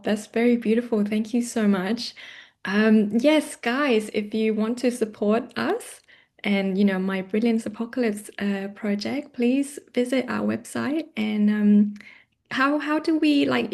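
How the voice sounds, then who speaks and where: A woman's voice: on the high side, fairly even intonation, unhurried, gravelly, darkish tone. A woman hosting an interview in a conversational style, asking questions and addressing viewers. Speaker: interviewer, host.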